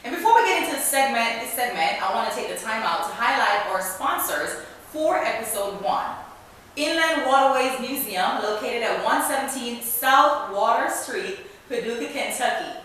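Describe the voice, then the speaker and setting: A woman's voice: a little high, lively intonation, moderate pace, fairly bright tone. A woman hosting a talk show interview in a conversational way, often saying "you know". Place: indoors, echoey room. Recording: echoey room, distant mic, hiss.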